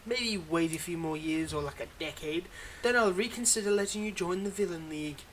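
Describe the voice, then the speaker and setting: A man's voice: high voice, a little fast, a bit bright, a little hiss or hum, poor audio. A man playing a weary, wry supervillain with a secretly kind side, talking conversationally and a little hesitantly in an intimate roleplay audio monologue. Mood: teasing, fond.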